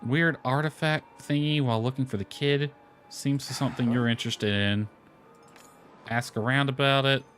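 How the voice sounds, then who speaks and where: A man's voice: medium pitch, steady tone, normal speed, fairly bright tone. A man giving casual game commentary, reading dialogue aloud with offhand asides. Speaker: gamer commenting on own playthrough. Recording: lo-fi music playing behind. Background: background music.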